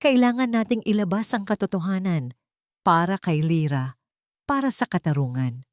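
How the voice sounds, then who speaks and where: A woman's voice: medium pitch, animated, normal speed, a little mellow, no room sound, studio quality, natural voice. A woman with a Filipino accent voicing an earnest, protective rich young man in an audio romance story. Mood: concerned, reassuring.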